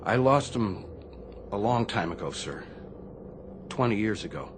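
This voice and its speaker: Haunted Navy chief: halting, very varied pitch, radio drama over faint noise. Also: male voice, expressive. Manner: halting, troubled. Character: haunted, guilt-ridden male Navy chief, troubled veteran.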